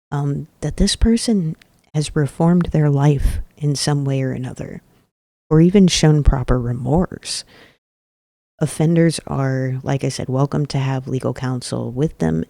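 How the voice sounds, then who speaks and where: A man hosting an advocacy podcast, speaking earnestly and emphatically with deliberate repetition, his voice a little off today. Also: medium pitch, vocal fry, warm tone, no echo. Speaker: podcast host. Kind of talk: advocacy commentary.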